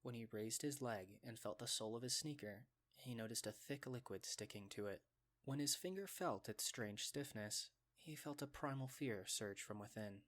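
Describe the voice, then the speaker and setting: A male narrator reads a scripted story in a mid-range voice. His delivery is measured and descriptive, in the manner of a storyteller. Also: medium pitch, fairly bright tone.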